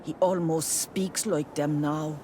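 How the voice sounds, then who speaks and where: A protective, earnest village mother from a video game, guarded and worried. Her words come very slowly and plainly, with faint noise behind them. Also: deep voice, steady tone, warm tone. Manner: deliberate, plain-spoken. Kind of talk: video game dialogue acting.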